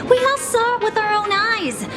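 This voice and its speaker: Mocking woman in a game, exaggerated, sarcastic, very high, loud music behind. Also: sing-song, moderate pace. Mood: mocking glee. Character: mocking, gleeful female taunter. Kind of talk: comic game dialogue.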